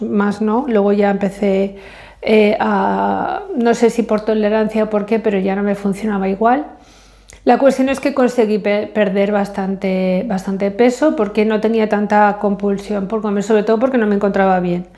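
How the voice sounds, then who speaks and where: A middle-aged woman speaks to her viewers in a loose, personal way. Her voice sits a bit high and sounds mellow and warm. She hesitates often, circles back and corrects herself mid-phrase, and English is her first language. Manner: hesitant, many fillers and self-corrections. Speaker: vlogger. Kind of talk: casual personal monologue to camera.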